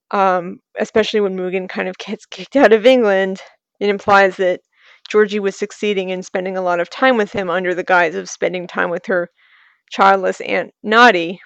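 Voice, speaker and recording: A woman's voice: medium pitch, creaky, clean tone, no echo. A woman author talking casually about her writing, rambling and self-deprecating, with many asides and restarts. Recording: slightly muffled dry recording.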